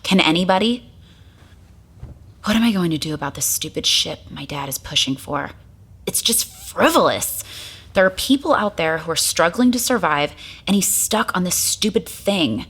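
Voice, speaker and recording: A woman's voice: fairly low pitch, animated, moderate pace, a bit bright, breathy edge, heavily acted. A woman playing a troubled, idealistic young woman in an audio drama, guarded, weary and prickly. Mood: frustrated.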